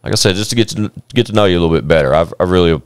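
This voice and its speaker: Deep-voiced laid-back male podcast host. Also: racing, clean tone, no room sound, studio quality. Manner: laid-back, swears casually, lots of fillers. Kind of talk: casual podcast chat.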